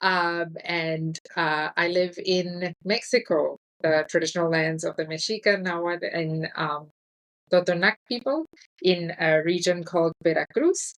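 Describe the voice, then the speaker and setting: A woman's voice: fairly low pitch, measured pace, poor audio. A woman in her thirties from Singapore, a podcast guest talking in a friendly, reflective way with easy laughs. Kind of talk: casual interview chat.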